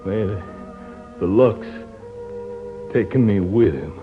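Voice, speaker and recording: A very muffled old radio recording of a rough, simple frontier man who is dying. He speaks very slowly and brokenly in a weak, folksy way, with faint music behind. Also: on the low side, animated. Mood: fading, mournful. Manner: halting, broken, folksy speech. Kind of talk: 1950s radio drama acting.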